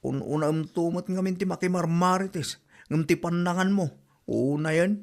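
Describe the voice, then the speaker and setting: A man's voice: high-pitched, normal speed. A man playing a nagging, exasperated village husband with an Ilocano accent in a comedy drama podcast.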